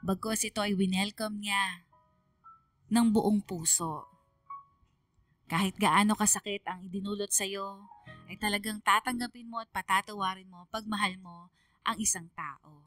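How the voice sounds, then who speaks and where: A woman's voice: medium pitch, fairly even intonation, a little slow, pauses often, fairly bright tone, distant mic, lightly acted. A woman with a Filipino accent playing a sentimental young daughter, narrating her heartfelt letter in a radio drama. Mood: earnest, grateful. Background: music.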